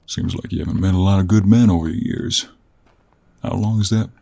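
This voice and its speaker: A man playing a gruff, teasing cowboy monster hunter in an intimate audio roleplay, with a gravelly, husky voice and an American Western drawl. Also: low-pitched, steady tone, warm tone. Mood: flirty teasing. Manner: slow, teasing drawl with folksy endearments.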